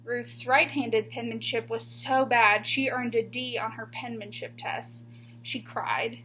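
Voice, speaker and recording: A woman teacher reading a children's picture book aloud expressively, with deliberate, emphatic pauses on key words. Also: fairly high pitch, steady tone, bright, forceful tone, slight background noise, poor audio.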